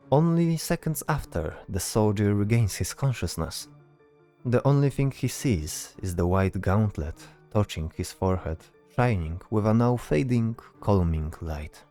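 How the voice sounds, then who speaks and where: A man with a low, mellow voice narrates a scripted fantasy tale. His delivery is deliberate, laid out in short phrases. Faint music plays behind him. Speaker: narrator, storyteller.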